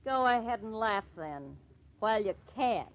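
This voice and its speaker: High-voiced, sharp-tongued American girlfriend. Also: animated, measured pace, very noisy. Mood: urgent, scolding. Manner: sarcastic, scolding, slangy. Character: pitcher's sharp-tongued, cynical, pleading girlfriend. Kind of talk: old-time radio crime drama acting. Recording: dull old-time radio recording.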